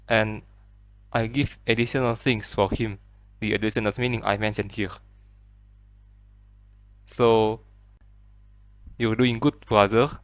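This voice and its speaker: Slow, deliberate man. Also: fairly low pitch, no room sound. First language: Indonesian. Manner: slow, deliberate, step-by-step explaining. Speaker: language explainer. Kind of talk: solo educational explanation.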